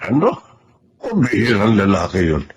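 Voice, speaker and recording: A man's voice: on the low side, wildly swooping pitch, moderate pace, husky, heavily acted. An actor playing a sympathetic, practical uncle in a Filipino drama, voicing concern for his family. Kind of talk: Filipino radio-style drama acting.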